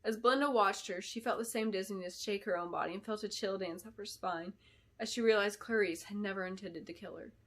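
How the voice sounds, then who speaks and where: A woman reads fantasy fiction with a bright, forceful tone. Her storytelling is dramatic and expressive, with deliberate phrasing throughout. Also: mid-range voice. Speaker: author reading her book. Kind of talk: dramatic scripted fantasy narration.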